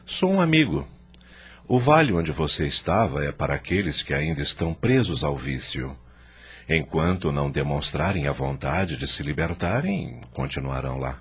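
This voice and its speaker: Very deep, solemn guiding spirit. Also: male voice, lively intonation, breathy edge, full character voice. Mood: solemn, instructive. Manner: solemn, reassuring, measured. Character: calm, solemn male rescuer spirit, a benevolent guide. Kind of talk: radio drama acting.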